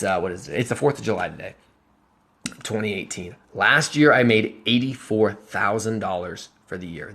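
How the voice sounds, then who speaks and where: Young man in his twenties, mid-pitched voice, casual earnest vlogger who turns emphatic. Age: 20s. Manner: earnest, emphatic, building to bursts of conviction; conversational with restarts and self-corrections. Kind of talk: personal finance vlog over slides.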